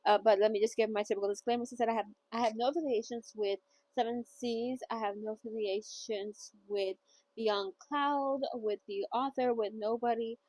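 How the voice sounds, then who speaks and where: A woman's voice: a little high, fairly even intonation, normal speed, a little mellow, low-quality recording. A woman hosting a casual novel read-along who rambles chattily and often trips over words. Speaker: book reader and host.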